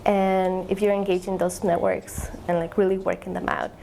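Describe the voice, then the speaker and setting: A woman's voice: medium pitch, level tone, normal speed, gravelly, dark tone, a little hiss or hum, poor audio. A young woman, a graduate student explaining her research in an interview, thoughtful and searching for words. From Ecuador. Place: eye tracking lab.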